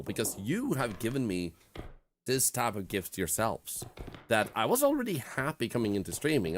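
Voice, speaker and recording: Lively-voiced Swedish man streaming. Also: lowish voice, noisy background. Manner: candid, reflective, filler-heavy storytelling. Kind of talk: casual gaming stream chat.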